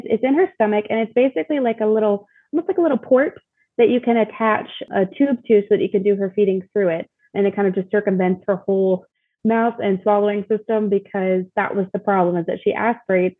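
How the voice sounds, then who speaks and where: Husky young woman on a phone-like line. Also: mid-range voice, no echo. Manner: informal, candid, often uses "like". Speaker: interview guest, mother sharing her experience. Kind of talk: casual personal storytelling. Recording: thin, phone-like call audio.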